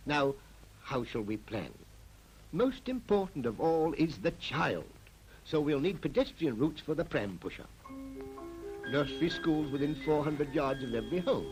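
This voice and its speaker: An actor playing a confident, persuasive town planner in a 1940s animated public information film, speaking in an emphatic, explanatory way. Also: male voice, a little high, low-quality recording. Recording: old 1940s film soundtrack, music behind.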